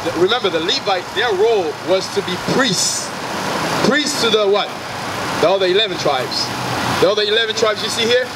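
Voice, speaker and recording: A man from Haiti with a fairly high voice preaches on the street, firing questions at his listener and hailing people walking past. Loud traffic and engine noise roar behind him. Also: expressive, brisk. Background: loud traffic and car engines.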